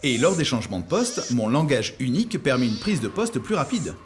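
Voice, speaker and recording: Guy as an eager cartoon software robot mascot, upbeat explainer, lively pitch, music playing under it. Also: brisk, close to an everyday voice. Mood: eager, helpful. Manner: upbeat, presentational. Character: eager, helpful, self-assured four-armed male software mascot. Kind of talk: scripted explainer in an educational cartoon.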